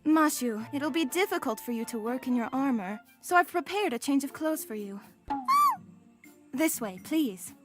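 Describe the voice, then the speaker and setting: Woman playing a high-voiced, helpful instructor, loud music. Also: lively intonation, normal speed. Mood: friendly. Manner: instructive, obliging. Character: helpful, friendly female teacher of ancient brewing. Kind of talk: comic dub voice acting.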